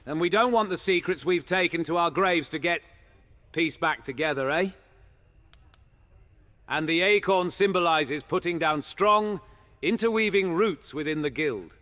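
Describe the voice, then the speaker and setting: Guy doing a cheeky medieval monster hunter, lightish pitch, theatrical and conspiratorial, echoey hall w music under it. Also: unhurried, bright, forceful tone. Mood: reverent, proud. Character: roguish, irreverent medieval monster hunter guild knight. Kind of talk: immersive fantasy audio roleplay.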